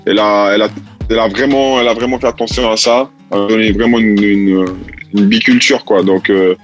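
A man who grew up in Italy speaks in a lowish voice, answering interview questions casually. He restarts his sentences often and searches for words as he goes. Faint music plays softly behind him. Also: steady tone. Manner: halting, many restarts and fillers. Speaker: rapper guest.

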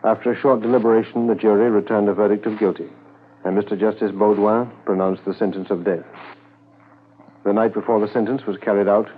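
An actor narrating a 1950s radio drama as a sober, reflective Mountie, measured and formal. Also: male voice, lowish voice, fairly even intonation, narrow, tinny audio, slight background noise, natural voice. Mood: grave, factual.

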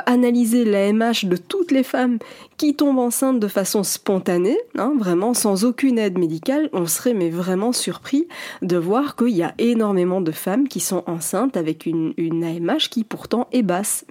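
A woman's voice: a little high, animated, normal speed, dark tone. A woman in her forties, a fertility coach speaking alone on a podcast, talking straight to the listener in an earnest, reassuring way. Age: 40s. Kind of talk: solo podcast monologue.

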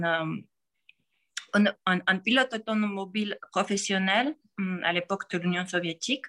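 A woman in her forties with a Georgian accent, answering interview questions in a halting way, with frequent pauses and restarts. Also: mid-range voice, pushed and bright. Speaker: podcast guest.

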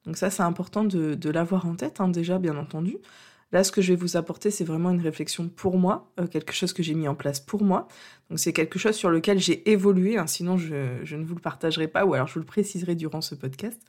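A woman's voice: fairly low pitch, quick pace, a little mellow. French woman hosting a podcast with a cold. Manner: hesitant, many fillers and restarts, earnest. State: has a cold. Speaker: podcast host and coach. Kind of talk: unscripted solo podcast talk.

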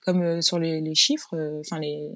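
A woman's voice: low voice, level tone, moderate pace, a bit bright, dry recording. A woman from France, a guest in a casual interview, telling her story frankly in a chatty way with frequent restarts. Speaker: guest, business owner.

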